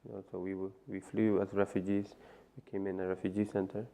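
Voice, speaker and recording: Deep gravelly man. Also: flat delivery, a little fast, warm tone. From Afghanistan. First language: Farsi. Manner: hesitant, many fillers and restarts. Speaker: interview guest. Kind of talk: personal story interview. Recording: muffled lo-fi sound.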